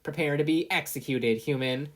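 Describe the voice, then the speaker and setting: A performer voicing menacing combat robots that sneer at a human in a slow, taunting manner. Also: medium pitch, voice pushed up, close to an everyday voice.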